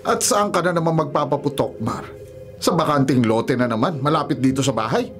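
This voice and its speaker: A man's voice: medium pitch, brisk, fairly bright tone, faint music behind, natural voice. An actor playing a stern, superstitious older widowed father-in-law who scolds and warns in a Filipino radio drama. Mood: disapproving. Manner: scolding, admonishing.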